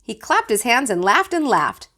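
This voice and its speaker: Animated woman reading a children's book. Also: on the high side, normal speed. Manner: expressive storytelling. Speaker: teacher reading aloud to pupils. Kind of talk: children's book read-aloud.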